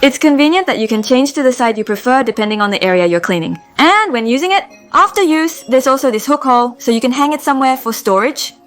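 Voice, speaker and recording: Slightly hoarse woman reviewing products calmly. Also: high-pitched, fast, hardly any pauses, soft background music. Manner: calm, methodical explaining. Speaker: home organizing vlogger.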